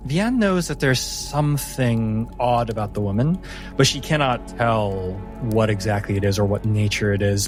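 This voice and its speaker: A man narrating a tabletop game as game master, in a measured, deliberate storytelling manner with pauses. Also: medium pitch.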